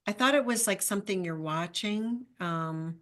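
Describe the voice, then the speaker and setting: A woman's voice: mid-range voice, moderate pace, a crowd in the background. A woman chatting casually as a returning podcast guest, encouraging and appreciative in manner. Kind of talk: casual chat.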